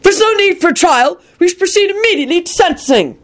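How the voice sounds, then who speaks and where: A woman's voice: extremely high pitch, expressive, fast, pitched above the performer's own voice. A woman voicing an angry, hostile heckler who hollers from the back of a court in an audiobook. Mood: outraged.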